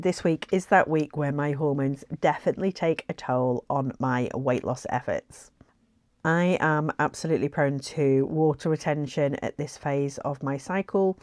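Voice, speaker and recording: Low-voiced woman vlogging. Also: darkish tone, no room sound, clean studio sound. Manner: chatty and reflective, with frequent ums and light laughs. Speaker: weight-loss vlogger. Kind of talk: casual talking to camera.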